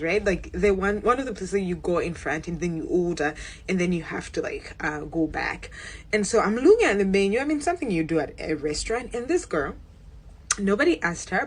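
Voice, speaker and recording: A woman's voice: fairly low pitch, breathy edge. A woman from Malawi telling a story about a restaurant in a street interview, with many fillers.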